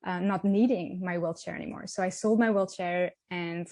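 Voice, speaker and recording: A woman's voice: fairly low pitch, fairly even intonation, moderate pace, darkish tone, no room sound. Guest, French woman, 30s, hesitant storyteller, dry recording. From south of France. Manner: hesitant, many ums and restarts. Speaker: guest, app founder. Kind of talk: interview conversation. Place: outdoors by the sea.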